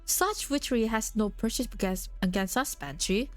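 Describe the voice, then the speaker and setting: A woman's voice: a little high, expressive, normal speed, lightly acted. A woman voicing an aloof, ancient non-human being who talks in a formal, archaic way, with faint music behind. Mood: cold.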